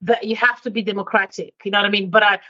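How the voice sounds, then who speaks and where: A woman's voice: medium pitch, steady tone, quick pace, pushed and bright. Direct-speaking woman guest, lo-fi sound. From Romania. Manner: direct and candid. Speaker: interview guest, consultant. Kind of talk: casual interview chat.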